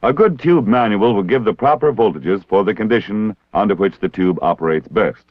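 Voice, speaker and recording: American man, low voice, formal training-film narration, measured and precise, dry close sound, muffled 1960s film soundtrack. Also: lively intonation, dry recording. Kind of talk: scripted instructional narration.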